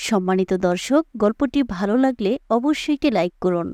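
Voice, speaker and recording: A woman's voice: mid-range voice, lively intonation, fairly quick, warm tone, dry recording. A woman narrator reading a scripted story.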